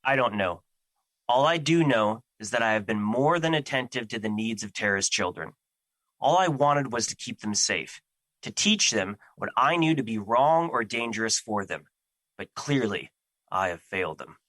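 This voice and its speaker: A man's voice: mid-range voice, lively intonation, normal speed, a bit bright, no echo, close to an everyday voice, low-quality recording. A man playing Fear as a personified emotion in an audio drama, troubled, defensive and duty-bound, with earnest, formal phrasing. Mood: anguished doubt.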